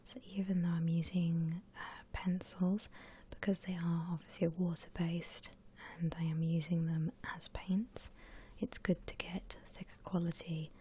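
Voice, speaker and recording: A woman softly whispering an ASMR drawing tutorial in a breathy, gentle, unhurried way, like an instructor. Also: lowish voice, level tone. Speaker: ASMR art channel host.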